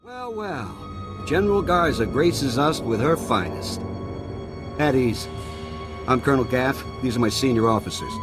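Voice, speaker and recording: A man's voice: medium pitch, lively intonation, measured pace, natural voice. Guy playing grumpy army colonel, sarcastic and dismissive, video game cutscene w/ music under it. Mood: sarcastic, resentful. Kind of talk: video game character acting. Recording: game dialogue over ominous music.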